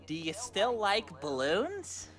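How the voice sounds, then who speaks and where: A man's voice: sits high, huge pitch swings, slow pace, a bit bright, exaggerated voice. A man voicing a gleefully menacing young male cartoon pony in a fan fiction audio drama, drawing out mocking threats with chuckles. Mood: mocking menace. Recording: music behind the voice.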